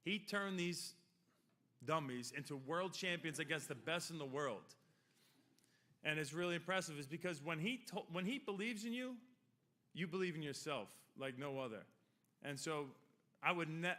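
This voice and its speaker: Bright-voiced man, rambling speech. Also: on the high side, expressive, normal speed. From Long Island, New York. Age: 40s. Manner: rambling, joking, heartfelt. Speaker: hall of fame inductee. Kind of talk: acceptance speech.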